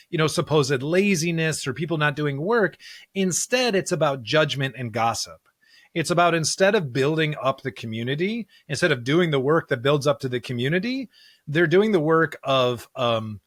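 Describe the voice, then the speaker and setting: A man's voice: a little high, dry recording, clean studio sound. A man hosting a Bible podcast, explaining and reading scripture in an earnest, emphatic way. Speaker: podcast host discussing scripture.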